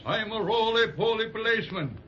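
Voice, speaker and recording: A man's voice: sits high, expressive, fairly quick, pushed and bright. A man playing a cocky, stubborn roly-poly policeman with a teasing manner in a 1930s radio serial. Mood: smug, teasing. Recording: dull, noisy 1930s radio recording.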